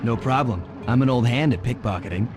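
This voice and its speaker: An actor playing a cocky young raccoon master thief in a video game, smooth and easygoing. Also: male voice, medium pitch, a little fast, lightly acted. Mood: assured. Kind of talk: animated video game cutscene acting. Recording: loud music under the voice. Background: game music.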